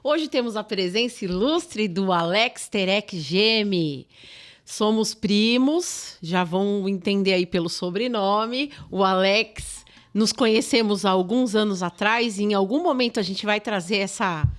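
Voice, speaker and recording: Apresentadora brasileira de podcast, afirmativa. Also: female voice, mid-range voice, unhurried. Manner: warm, affirming listener with frequent backchannels. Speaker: podcast host, therapist. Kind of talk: casual interview hosting.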